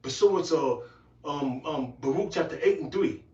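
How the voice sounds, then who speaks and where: A man's voice: on the high side, measured pace, dark tone, far from the mic. A man giving insistent scripture commentary as a co-teacher, tagging his statements with casual interjections. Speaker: preacher, co-teacher. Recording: dull, roomy, off-mic.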